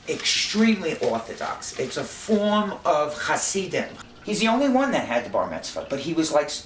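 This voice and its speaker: An older woman, a mother, speaks plainly and a little rambling in a documentary interview. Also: low-pitched. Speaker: mother interviewed by her son. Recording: echoey room, distant mic, faint noise.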